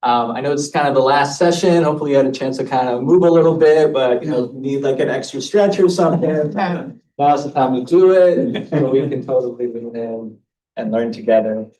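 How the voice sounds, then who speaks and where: A man's voice: medium pitch, a little fast, warm tone. A man from the United States presenting an academic paper at a conference, partly reading and partly speaking casually. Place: conference room, recorded from a distance. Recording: echoey room, distant mic, faint noise.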